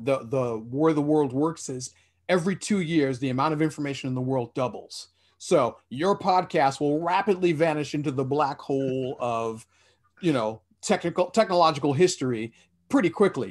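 A man in his forties on a headset at home, speaking earnestly on a video-call panel and often restarting his sentences. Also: medium pitch. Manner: earnest, explanatory, with frequent restarts. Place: small room indoors.

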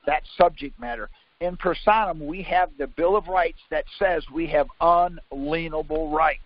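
High-pitched man, insistent quizzing teacher, informal, contradicts bluntly, muffled dry conference call audio. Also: normal speed, no echo. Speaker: conference call leader teaching legal theory. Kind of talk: informal instruction by questions. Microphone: conference call line.

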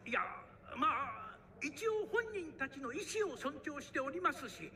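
An actor playing a nervous, evasive official at an anime press conference, formal and placating. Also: male voice, extremely high pitch, big pitch swings, measured pace, bright, forceful tone, a little hiss or hum, full character voice. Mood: flustered. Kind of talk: anime voice acting.

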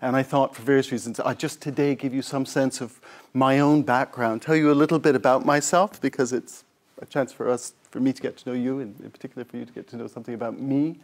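A man's voice: medium pitch, fairly even intonation, fast talker. A middle-aged man from Scotland, a professor giving an informal talk in a lecture room on a lapel mic, rambling and self-deprecating with many fillers and restarts.

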